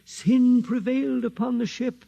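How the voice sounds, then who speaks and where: A man's voice: very high-pitched, fairly even intonation, a little slow, gravelly, warm tone, no echo, heavily acted, rough recording. An actor playing a pompous, dogmatic old holy man who is easily frightened, in 1950s radio drama style. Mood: solemn, pompous. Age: older. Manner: pompous, stammering when challenged.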